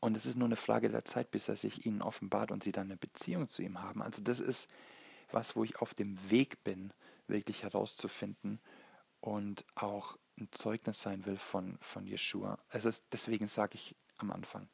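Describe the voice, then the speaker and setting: Male preacher. Low, gravelly delivery, earnest and halting, feeling for each word. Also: flat delivery, a little mellow, no echo. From Germany. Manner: earnest, halting, searching for words. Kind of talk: sermon, Bible teaching.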